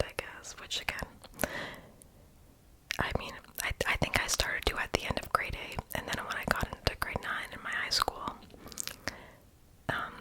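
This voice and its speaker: A young adult speaking entirely in a breathy, unvoiced whisper, rambling casually and self-deprecatingly in an ASMR voiceover, with soft mouth sounds. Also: low-quality recording. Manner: mouth sounds, lip smacking. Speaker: ASMR video creator.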